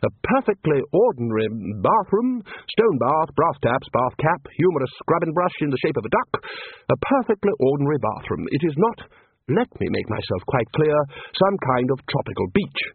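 A man's voice: a little high, sing-song, normal speed, breathy edge, pitched above the performer's own voice, exaggerated voice. A man voicing an irritable, commanding head wizard in an audiobook, blustering and emphatic. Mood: insistent. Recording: muffled phone-like sound, roomy echo.

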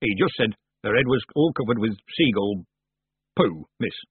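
A man's voice: fairly low pitch, animated, moderate pace, breathy edge, dry recording. Man acting a folksy village man, phone-like sound. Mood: sheepish. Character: cheeky, sheepish village man, deferential to the witch.

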